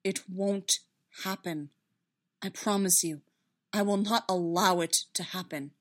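A woman voicing a firm, protective male Jedi mentor in a story, measured and reassuring. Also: fairly low pitch, steady tone. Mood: firm reassurance.

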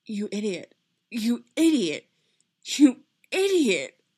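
A woman's voice: mid-range voice, very animated, moderate pace, close to an everyday voice. A woman voicing a hot-headed young man, an emphatic and loyal friend in a dramatic story reading, given to outbursts and broken repetition. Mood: distraught.

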